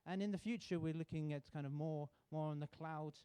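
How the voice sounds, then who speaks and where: Man from the UK, sponsor rep at a lectern, soft warm tone, hesitant delivery. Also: a little high, clean tone, dry recording. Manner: relaxed, halting with many fillers and restarts. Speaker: sponsor representative. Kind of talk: welcome speech at a contest. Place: university auditorium.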